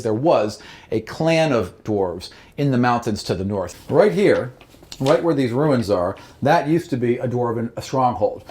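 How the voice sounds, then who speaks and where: A man's voice: medium pitch, a little mellow. A man narrating an improvised tabletop game as game master in a casual, conversational way.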